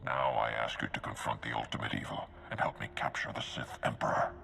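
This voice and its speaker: An actor playing a commanding, idealistic Jedi master with a deep, gravelly voice in a video game. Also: male voice, fairly even intonation, brisk, bright, forceful tone, in a large hall, slight background noise, full character voice. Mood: confident, grand. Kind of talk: video game cutscene acting.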